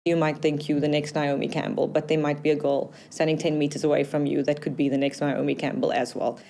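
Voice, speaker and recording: A woman's voice: sits low, level tone, a little fast, mellow, a little hiss or hum. A woman who works as a booker at a model agency, talking frankly and matter-of-factly in a documentary interview.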